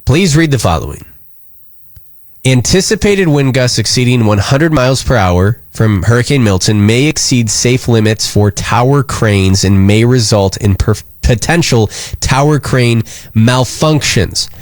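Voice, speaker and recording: A man's voice: mid-range voice, lively intonation, measured pace, dry recording, clean studio sound. An American man hosting a live radio show, talking casually and earnestly in a rambling, concerned way.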